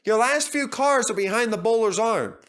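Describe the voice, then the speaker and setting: Man doing an excitable high-pitched cricket player. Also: moderate pace. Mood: polite. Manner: urgent, exclaiming.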